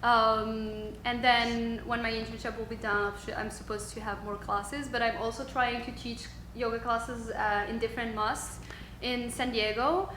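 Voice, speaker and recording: A woman's voice: a little high, little pitch movement, moderate pace, a bit bright. Woman, roomy echo. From Switzerland. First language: French. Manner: hesitant, many ums, frequent laughs. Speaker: guest, yoga instructor. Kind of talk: casual interview. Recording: roomy echo with faint background noise.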